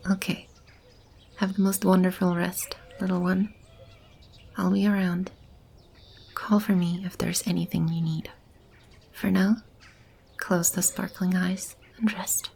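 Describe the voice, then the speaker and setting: A woman playing a caring female demon in an ASMR roleplay, speaking softly and soothingly, often close to a whisper. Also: lowish voice, little pitch movement, slow, long pauses, full character voice. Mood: soothing, hushed. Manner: soothing, tender, intimate and reassuring. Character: gentle, caring female demon sheltering animals and a lost child. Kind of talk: ASMR roleplay, soft-spoken with stretches of whispering. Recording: clearly audible ambient animal and bird sounds. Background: animal and bird ambience.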